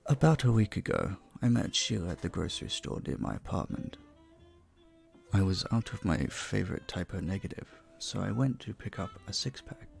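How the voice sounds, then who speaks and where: A man playing a charming, polite vampire bartender in an intimate audio roleplay, soft-spoken and slightly formal. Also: sits low, sing-song, moderate pace, vocal fry, soft background music, heavily acted. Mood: modest, conversational. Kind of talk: audio roleplay, intimate one-sided dialogue.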